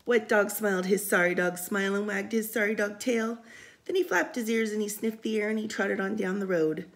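A woman reading a picture book aloud for storytime, expressive and sing-song, with casual asides. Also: mid-range voice, a little fast. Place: indoors, room by a window.

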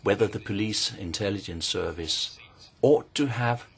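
A Norwegian man with a Norwegian accent, giving a measured, halting formal answer as a parliamentarian at a hearing. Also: lowish voice, husky. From Norway.

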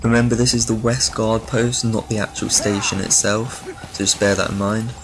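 A man's voice: lowish voice, level tone, moderate pace, poor audio. A man giving a casual walkthrough commentary over video game footage. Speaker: video game guide maker. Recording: clear background noise, game ambience.

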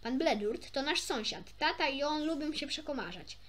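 A young woman with a high voice reads a children's story aloud. Her intonation moves a lot and her delivery is informal. She stumbles a little and slips into chatty asides. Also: animated, moderate pace, fairly bright tone. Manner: informal, stumbles over words and self-corrects. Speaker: amateur storybook reader.

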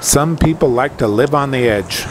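A man's voice: mid-range voice, normal speed, noisy background. A man delivering scripted travel narration as the presenter of a hiking channel. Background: background music.

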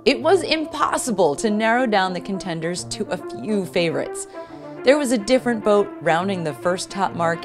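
A woman reading a scripted sailing news narration in an even, measured way. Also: mid-range voice. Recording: music bed behind.

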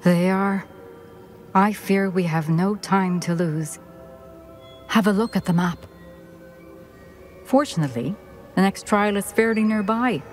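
An actress playing a formal, composed former headmistress speaking from a portrait in a game. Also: female voice, mid-range voice, a little slow, pauses often, soft background music, natural voice. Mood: concerned. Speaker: game character.

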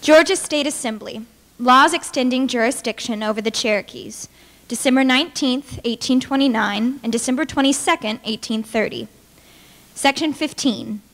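A young woman reads aloud formally in a fairly high voice. Her delivery is measured and declamatory, picked up by a podium microphone in a reverberant hall. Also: fairly bright tone. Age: young adult. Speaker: dramatic reader. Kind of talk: formal read-aloud of historical legal text. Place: indoor hall with brick walls, small audience.

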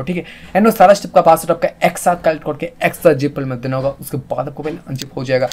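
A man's voice: a little high, a little mellow, slight background noise. A man with an Indian accent narrating a screen-recorded tutorial in a repetitive, instructional manner. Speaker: music producer and channel host.

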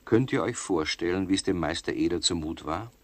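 An actor as the storyteller of a children's radio play, addressing young listeners directly. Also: male voice, deep voice, brisk, no room sound. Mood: engaged storytelling. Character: storyteller narrating a children's tale. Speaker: narrator.

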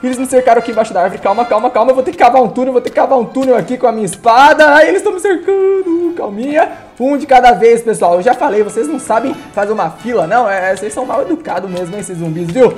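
Excitable young Paulista gamer with a cold. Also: male voice, very high-pitched, quick pace, few pauses, soft background music. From Brazil. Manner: excitable, chatty, addresses viewers. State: congested from a cold. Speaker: gaming YouTuber. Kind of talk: playful gameplay commentary.

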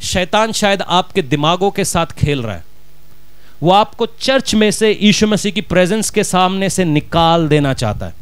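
A middle-aged pastor with a Punjabi accent preaches into a handheld mic, his voice high-pitched and smooth. He presses his points with rhetorical questions and drops Punjabi words into the sermon. The sound is close and dry, with little room around it. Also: a bit bright, no room sound. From Punjab, India. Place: church stage, indoors.

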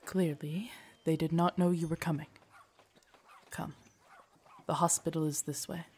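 A woman playing a loyal, gentle royal knight in an audio roleplay, formal, deferential and soothing in her measured speech. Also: deep voice, steady tone, husky. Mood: calm, reassuring. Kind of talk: immersive audio roleplay acting.

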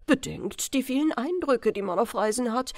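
A woman's voice: on the high side, animated, moderate pace, darkish tone, dry recording, studio quality, voice pushed up. A woman voicing an eager male royal councillor, courtly and coaxing, in a fairy-tale reading. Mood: persuasive.

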